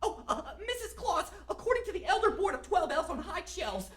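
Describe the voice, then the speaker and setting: A woman's voice: very high-pitched, very animated, brisk. A woman playing a tiny, chirpy and bossy Christmas elf with officious, formal speech in a radio drama. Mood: urgent, official. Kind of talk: amateur radio drama acting. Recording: roomy echo.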